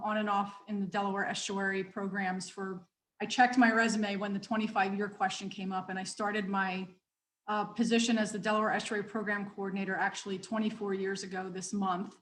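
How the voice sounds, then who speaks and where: A woman agency director giving panel remarks over a video call, friendly and joking with some fillers. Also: medium pitch. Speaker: agency water division director. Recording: echoey room on a video call.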